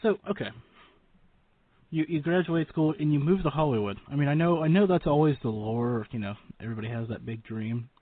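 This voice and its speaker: A man's voice: on the high side, fairly quick, dry recording. Male talk radio host, dry lo-fi sound. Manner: informal, joking, teasing. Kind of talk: casual talk radio interviewing.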